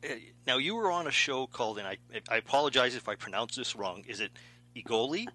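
Casting: male interviewer, bright forceful tone, friendly with frequent short agreements. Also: medium pitch, lively intonation, fairly quick, dry recording. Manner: friendly, backchannels often. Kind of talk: casual interview conversation.

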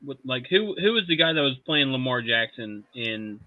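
A man's voice: mid-range voice, big pitch swings, moderate pace, dry recording. A man co-hosting a podcast in a laid-back, self-mocking way. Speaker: co-host. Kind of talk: casual podcast chat. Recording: dull, dry lo-fi mic.

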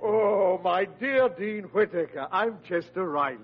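An actor playing a pompous, freeloading uncle who poses as a wealthy gentleman, with haughty, florid enunciation in classic radio comedy style. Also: high voice, expressive, unhurried. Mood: grandly boastful. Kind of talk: 1940s radio comedy acting. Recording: dull, noisy old radio recording.

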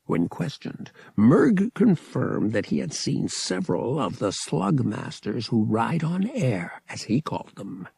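A man reads scripted fiction as a dramatic audiobook narrator. His delivery is unhurried with wide swings of pitch, and some gravel sits in the voice. The recording is dry. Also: big pitch swings, mellow, dry recording.

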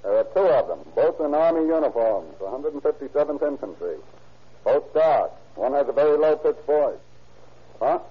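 An actor playing a calm, authoritative county sheriff in an old-time radio crime drama. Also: male voice, on the high side, husky. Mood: brisk, firm. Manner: measured, businesslike. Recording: tinny, scratchy old radio recording.

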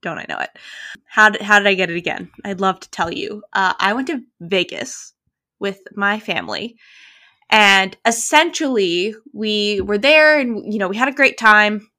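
A woman's voice: medium pitch, normal speed. Chatty young woman telling a story. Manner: animated storytelling, frequent "like" and "literally". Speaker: podcast co-host. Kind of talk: casual chat.

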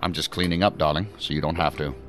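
An actor playing a busy assistant director in an audio drama, with a wry, dry way of talking. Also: male voice, extremely low pitch, fairly even intonation, quick pace, music in the background. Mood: wry, affectionate. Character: busy male senior official, wry and domestic.

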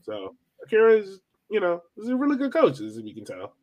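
A man's voice: high voice, huge pitch swings, fairly quick, darkish tone. An American man co-hosting a sports podcast, talking casually through analysis of a soccer match.

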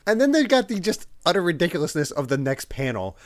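A man's voice: a little high, fast, no echo, studio quality. A man hosting a casual podcast, a little congested from a head cold, laughing often as he chats. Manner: laughing, animated, acts out quotes. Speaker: podcast host.

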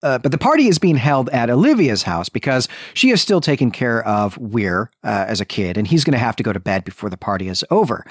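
A man's voice: mid-range voice, big pitch swings, brisk, few pauses, slightly rough, dry recording, studio quality. A man co-hosting a podcast, retelling and explaining a story in a conversational way, often checking in with "right". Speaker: podcast co-host.

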